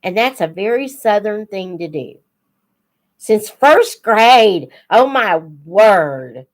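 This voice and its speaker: An older woman chatting casually as a live host for young viewers, in an unhurried, reflective, folksy manner. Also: mid-range voice, animated. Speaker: host of a children's book read-aloud channel.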